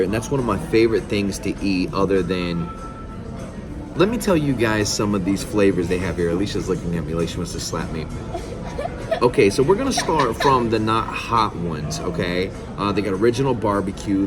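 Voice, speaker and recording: A man from Florida hosting a casual vlog, excitable and slangy, calling everyone bro. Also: on the low side. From Florida, USA. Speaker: vlogger host.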